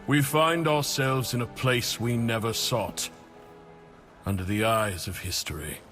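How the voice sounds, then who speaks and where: A man voicing a solemn male city leader, giving a measured speech to his people. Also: mid-range voice, fairly bright tone, faint music behind, lightly acted.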